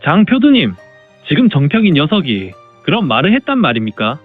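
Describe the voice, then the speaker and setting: A high, wildly swooping caravan porter, played by a man, grumbles like a henpecked husband who still dotes on his wife. It is martial arts audio drama with an animated, complaining delivery, slightly muffled over music. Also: brisk, slightly rough, exaggerated voice. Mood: indignant. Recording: band-limited, music underneath.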